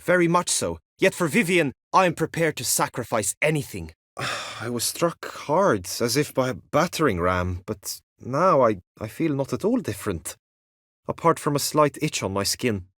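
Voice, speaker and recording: A man's voice: mid-range voice, a bit bright, dry recording, clean studio sound. A man playing an earnest, lovestruck young knight in a fantasy video game, speaking in formal, archaic, courtly phrases. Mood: fervent, determined, then dazed, unsure. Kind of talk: video game voice acting, medieval fantasy drama.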